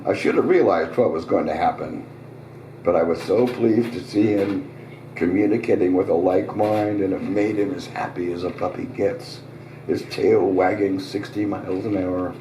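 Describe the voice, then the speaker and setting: A man hosting a live reading, hoarse, telling his own short story in a relaxed, conversational way with small asides. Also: sits low, a little hiss or hum. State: hoarse, voice getting raspy. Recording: roomy, distant restaurant recording.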